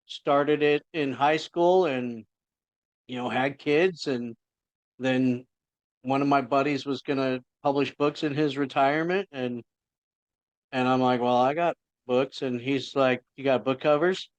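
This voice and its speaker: Middle-aged male guest, casual rambling talker, smooth tone, dry close sound. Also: mid-range voice, steady tone, a little slow, dry recording. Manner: rambling, self-interrupting storyteller. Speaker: indie author guest. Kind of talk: casual podcast chat.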